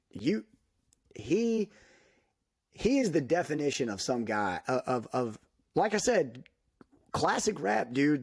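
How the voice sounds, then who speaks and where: A man giving his opinions in a casual podcast chat, animated, laughing often and saying "dude" a lot. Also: mid-range voice, creaky, breathy edge, no echo, studio quality. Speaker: podcast guest.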